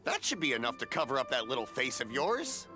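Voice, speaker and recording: A man's voice: mid-range voice, huge pitch swings, fairly quick, music in the background. A middle-aged man voicing a friendly, generous festival vendor in a video game, chuckling as he banters. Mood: jovial. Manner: jovial, chuckling, warm-hearted banter.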